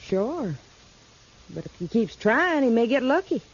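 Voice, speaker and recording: A woman's voice: on the high side, big pitch swings, unhurried, slightly rough, exaggerated voice. Feisty backwoods mountain girl. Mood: blunt, determined. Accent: American Southern mountain. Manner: blunt, sassy, backwoods. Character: feisty, stubborn young Kentucky mountain girl. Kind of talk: 1950s radio western acting. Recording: muffled vintage radio recording.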